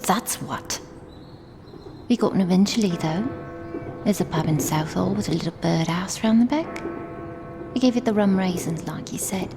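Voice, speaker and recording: A woman's voice: lowish voice, moderate pace, pauses often, over music. A woman voicing a cocky, foul-mouthed young street punk girl in a comic dub, slangy and insolent, with a London accent. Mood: cheeky. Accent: British, London. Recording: clearly noisy background.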